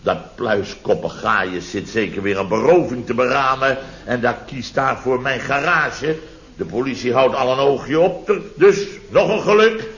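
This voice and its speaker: A man's voice: mid-range voice, measured pace, fairly bright tone. An actor playing a boastful, indignant contractor in his fifties in a radio comedy, repeating himself and exclaiming self-importantly. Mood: exasperated. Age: 50s. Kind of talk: 1970s radio comedy acting. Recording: dull vintage radio recording.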